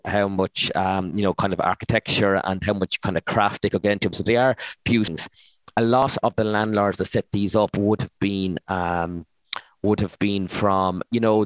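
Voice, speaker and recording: Irish male expert guest, lowish pitch, close dry recording, informal and hesitant explanation. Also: no echo, rough recording. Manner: hesitant, self-correcting. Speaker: historian, regular guest.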